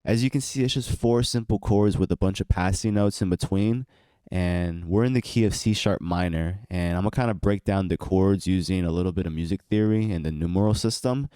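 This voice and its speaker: A young man talks through his beat-making process in a relaxed, explaining way. His voice sits very low and sounds stuffy and congested from allergies. The sound is smooth and close, with no room echo. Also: brisk. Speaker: beat producer and channel host. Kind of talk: casual tutorial walkthrough.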